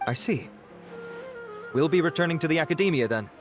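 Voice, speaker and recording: An actor playing a dutiful investigating officer in a fantasy video game, courteous, precise and businesslike. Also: male voice, on the high side, animated, brisk, lightly acted. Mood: composed, analytical. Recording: faint game music behind.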